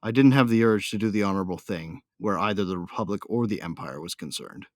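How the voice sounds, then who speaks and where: Brooding, hot-headed bounty hunter , male actor: deep, dry sound, slangy first-person audio drama storytelling with menace. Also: fast talker, dry recording, natural voice.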